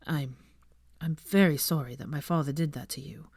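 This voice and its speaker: A woman voicing a guarded but sincere young man with a hushed, halting delivery in a story reading. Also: low voice, slightly rough, no room sound, clean studio sound. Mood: hushed, remorseful.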